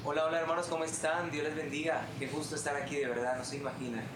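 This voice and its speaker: A man's voice: on the high side, level tone, quick pace. A man in his twenties with a Colombian accent, a guest telling his faith story in an earnest, heartfelt way. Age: 20s. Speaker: guest musician. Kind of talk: testimony in an interview. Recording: roomy room with background noise.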